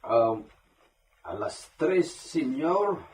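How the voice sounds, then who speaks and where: A man plays a deferential servant in an audio drama. His voice is husky and rough-edged. He answers hesitantly and meekly. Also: on the high side, big pitch swings, very slow, exaggerated voice. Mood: sleepy, meek.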